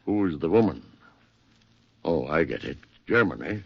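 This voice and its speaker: An actor playing a brusque, urgent wartime comrade, clipped and commanding, in 1940s radio drama style. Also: male voice, a bass voice, sing-song, a little slow, husky, dry recording, heavily acted. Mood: grim. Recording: dull, dry 1940s radio recording.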